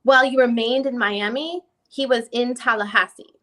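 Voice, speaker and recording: A woman plays a methodical female attorney questioning a witness. Her voice is bright and forceful, a little high. The questioning is formal, deliberate and probing. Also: steady tone. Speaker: reader playing a lawyer. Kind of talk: dramatic reading of a deposition.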